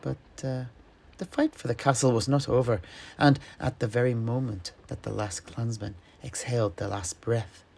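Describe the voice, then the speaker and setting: A man narrating a fantasy story dramatically, in measured, ornate phrases. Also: mid-range voice, fairly even intonation, gravelly, a little mellow.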